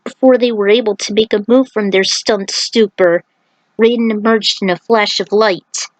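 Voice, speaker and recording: A woman's voice: mid-range voice, steady tone, normal speed, no room sound, low-quality recording. A woman reading an action fantasy story aloud as a narrator, in a dramatic scripted style.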